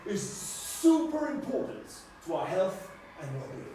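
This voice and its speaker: Excitable, kindly scientist, acted by a man: high, flustered, stammering explainer for a children's puppet show, very echoey. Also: a little slow. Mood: animated explaining. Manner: stammering, repetitive, emphatic, checking with "okay?". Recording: echoey room, distant mic, faint noise.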